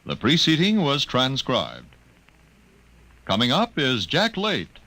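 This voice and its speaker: A man's voice: medium pitch, huge pitch swings, takes it slowly, pushed and bright, no room sound. An American man reading scripted announcements formally, in 1950s radio style. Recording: noisy old radio broadcast recording.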